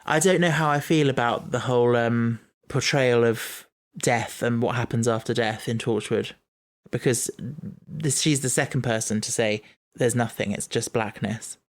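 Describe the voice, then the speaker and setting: Man, podcast co-host, casual chat, middle pitch, thinks aloud, restarts often. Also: normal speed, hardly any pauses.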